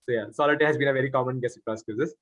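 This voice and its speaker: A man's voice: mid-range voice, racing, a bit bright, no echo. A man hosting a quiz, reading out questions and confirming answers. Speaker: quiz master. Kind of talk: reading quiz questions aloud, with spoken asides.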